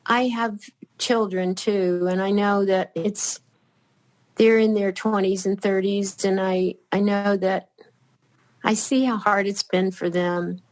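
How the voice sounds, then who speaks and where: An older woman chatting as a podcast guest, rambling and halting, with many fillers and restarts. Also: mid-range voice, fairly even intonation, poor audio. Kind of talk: casual chat.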